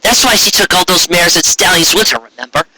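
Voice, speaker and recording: A man's voice: high-pitched, animated, a little fast, a crowd in the background, low-quality recording. A man playing a blunt, determined young female pony who urges others on in a story.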